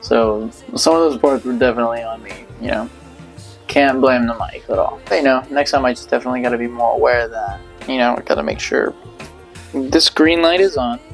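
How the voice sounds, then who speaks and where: Male vlogger, twenties, casual and slangy, mid-pitched with some fry, faint music underneath. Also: a little fast. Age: 20s. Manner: slangy, rambling. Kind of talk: casual direct-to-camera vlog talk. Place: small bedroom. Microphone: small lapel mic held near the chin.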